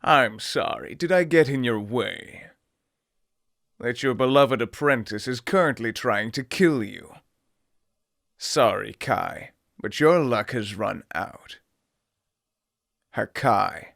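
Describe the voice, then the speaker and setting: Man putting on a haughty, gravelly destroyer god. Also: mid-range voice, animated, takes it slowly, pauses often, fairly bright tone, dry recording, clean studio sound, heavily acted. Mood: coolly menacing. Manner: smug, mock-polite menace.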